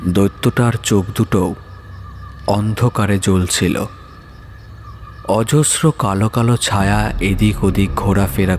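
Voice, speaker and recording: A male narrator tells a horror story in a fairly low voice. He takes his time, very slow and measured, with dramatic pauses between phrases. Soft music runs behind him.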